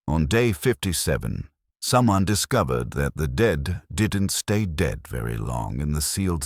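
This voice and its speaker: Male narrator, extremely low voice, grave first-person storytelling, dry close sound. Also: dry recording.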